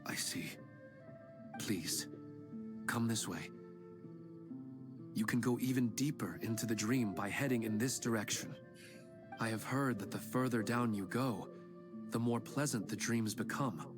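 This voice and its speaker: An actor playing a humble, sincere middle-aged father who talks in a gentle, plain-spoken way, over game music. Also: medium pitch, a little slow, long pauses, natural voice. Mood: earnest. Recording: game audio with music behind.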